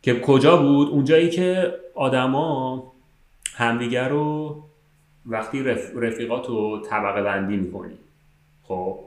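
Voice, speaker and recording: A man chatting casually as a podcast co-host, rambling through informal, slangy explanations. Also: fairly low pitch. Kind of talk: casual conversational chat. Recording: roomy, echoing sound.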